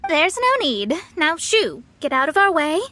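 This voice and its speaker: A woman's voice: high voice, very animated, a little slow, sharp tone, dry recording, heavily acted. A woman voicing a proud, eloquent young female astrologist in a video game, with formal, theatrical phrasing. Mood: stern, accusing.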